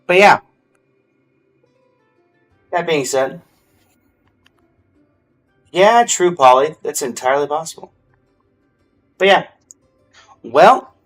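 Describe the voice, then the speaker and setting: A man's voice: a little high, lively intonation, slow, pauses often, fairly bright tone. Male game streamer with music behind. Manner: chatty, addresses viewers directly. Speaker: live streamer. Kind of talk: casual gaming livestream commentary.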